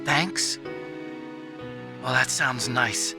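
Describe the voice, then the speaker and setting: An actor playing an earnest, nerdy engineer in a video game cutscene, boyish and anxious yet bravely resolved. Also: male voice, mid-range voice, very slow. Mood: resolved, wistful. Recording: clear music behind the voice.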